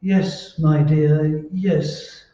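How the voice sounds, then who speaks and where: Henpecked husband, male actor: high, very slow, stammering and fearful. Radio play, heavy echo. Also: steady tone, dark tone. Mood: meek, nervous. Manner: stammering, hesitant, meek. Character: timid, henpecked, frightened husband. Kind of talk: old-time radio drama acting. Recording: very echoey, muffled, distant room recording.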